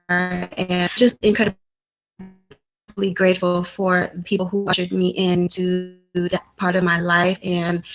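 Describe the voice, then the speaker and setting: A woman's voice: on the low side, poor audio. A woman originally from Haiti, giving an earnest, reflective talk as a guest speaker at a community event.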